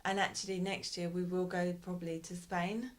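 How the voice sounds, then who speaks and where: A woman being interviewed as a parent in a casual conversation, talking thoughtfully and hesitantly with frequent pauses and restarts. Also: fairly low pitch, flat delivery. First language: English.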